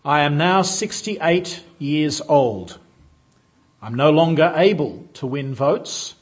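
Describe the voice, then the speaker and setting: Male preacher, slightly raised pitch, husky tone, measured delivery with filler pauses. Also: animated. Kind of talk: sermon. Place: church.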